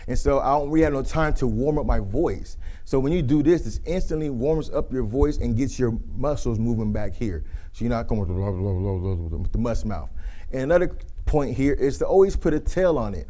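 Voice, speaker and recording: A man with a deep, mellow, dark-toned voice speaks in a relaxed, conversational way as a workshop presenter. He is in his thirties and drifts at times into quick demonstrations of flat versus lively delivery. His pitch sits in a middle range for a man. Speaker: speaker giving a communication workshop.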